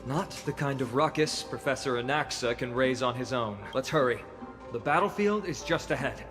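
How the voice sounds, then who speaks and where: Male actor as young game hero, loyal companion, calm and reflective, medium pitch, music underneath, long reverb. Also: moderate pace, natural voice. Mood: resolved. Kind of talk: video game dialogue. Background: game soundtrack music.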